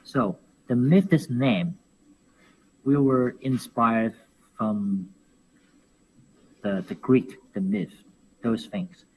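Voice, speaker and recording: Man, lively chat. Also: medium pitch, very slow, long pauses, a little hiss or hum, low-quality recording. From Hong Kong. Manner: animated, laughs often, repeats words while searching for phrasing. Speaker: professional wrestler guest. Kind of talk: casual interview chat.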